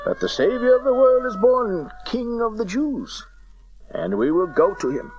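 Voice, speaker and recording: A man's voice: extremely high pitch, very animated, measured pace, a little hiss or hum, heavily acted. A man acting a fervent, stately wise king of the East, declaiming in a dramatized audio Bible. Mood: fervent, resolute. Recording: dull lo-fi cassette, loud music.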